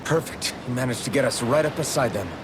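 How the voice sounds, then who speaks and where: A man playing a steady, sincere young hero and leader in a video game, with a measured, earnest manner. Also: mid-range voice, background noise, lightly acted. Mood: alert, decisive. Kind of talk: scripted video game voice acting.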